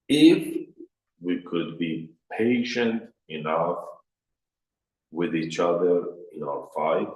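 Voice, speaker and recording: A man's voice: lowish voice, reverberant, poor audio. A man with a Persian accent giving a workshop lecture on marriage as a rabbi, in plain short sentences, raising his voice to act out quoted speakers. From Iran.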